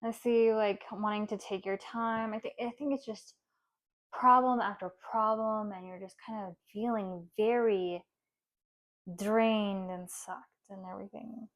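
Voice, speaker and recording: A woman giving a casual tarot reading, speaking softly and comfortingly, with halting pauses and fillers. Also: mid-range voice, steady tone. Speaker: tarot card reader.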